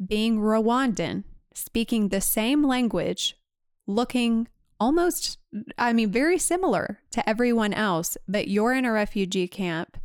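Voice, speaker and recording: A woman co-hosting a podcast, asking questions in a friendly, quick way with filler words. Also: medium pitch, clear, resonant tone, dry recording, clean studio sound. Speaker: podcast co-host. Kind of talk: casual interview conversation.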